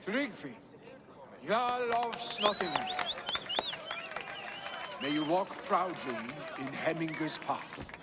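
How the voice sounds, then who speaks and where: An actor playing a proud, loyal young Viking warrior, a jarl's son, in a video game. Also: male voice, fairly high pitch, lively intonation, takes it slowly, long pauses, in a large hall, heavy background noise. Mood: solemn, proud. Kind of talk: dramatic video game acting.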